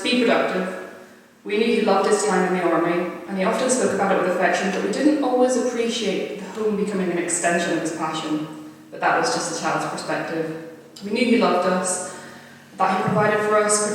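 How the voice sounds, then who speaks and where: A woman's voice: mid-range voice, slight background noise. A woman reads a prepared eulogy aloud at a funeral. Her voice comes from far off, with heavy echo and a long reverb from the chapel around it. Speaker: eulogy reader. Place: crematorium chapel. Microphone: distant camera at the back of the room. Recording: very echoey hall, distant mic.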